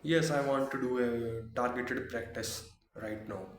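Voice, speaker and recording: A man from Haryana with an Indian accent, practicing English and pausing to find words. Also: mid-range voice. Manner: hesitant, searching for words. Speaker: English learner. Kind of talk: practice conversation. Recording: roomy room with noise.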